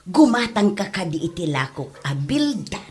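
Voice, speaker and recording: An actress with an Ilocano accent playing a flirty widowed street seafood vendor in a radio comedy drama. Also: female voice, on the low side, sing-song, moderate pace, darkish tone. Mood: coaxing.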